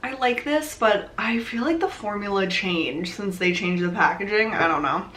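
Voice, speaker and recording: A woman's voice: mid-range voice, normal speed, fairly bright tone. A young woman with a slightly nasal, allergy-stuffed voice, chatting casually through a makeup tutorial with lots of "like" and "um". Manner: chatty, rambling with many "like" and "um" fillers. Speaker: beauty vlogger.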